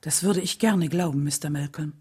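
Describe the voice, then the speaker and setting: A solemn leader's wife telling her story, radio play, soft dark husky voice, dry sound. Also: low voice, normal speed, no echo. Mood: polite, reserved. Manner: solemn, restrained, clearly phrased storytelling. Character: composed, grieving wife of a civil rights leader. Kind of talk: scripted radio drama narration and dialogue.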